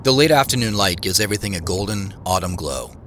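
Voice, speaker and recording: An actor playing a wry, reflective man who narrates his own mystery in an audio drama, in measured phrases. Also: low-pitched, lively intonation, fairly bright tone, a little hiss or hum. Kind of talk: first-person scripted audio drama narration. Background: music.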